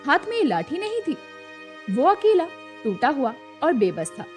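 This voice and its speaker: A woman's voice: sits high, wildly swooping pitch, a little slow, soft background music. A woman narrating a scripted story in a dramatic storytelling style.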